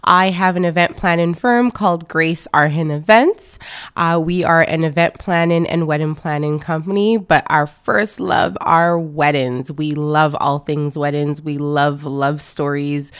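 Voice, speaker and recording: Low, smooth-voiced woman guest. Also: fairly even intonation, a little slow. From born in France, raised in Canada. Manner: warm storytelling with fillers. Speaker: guest, event planner. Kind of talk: casual interview talk.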